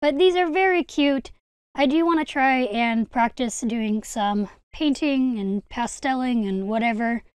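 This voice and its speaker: High, clear-voiced enthusiastic young woman. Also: measured pace, dry recording. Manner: enthusiastic, gushing. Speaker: video host, hobby collector. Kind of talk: casual haul vlog narration.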